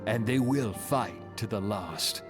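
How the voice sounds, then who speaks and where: Slow, low-voiced steadfast man. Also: over music. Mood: resolute. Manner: deliberate, solemn delivery. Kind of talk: video game cutscene acting.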